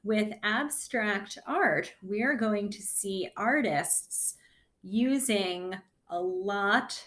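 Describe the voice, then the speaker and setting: A woman teaching kindergarten children in a read-aloud lesson, explaining things simply and deliberately in short phrases. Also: medium pitch, a bit bright.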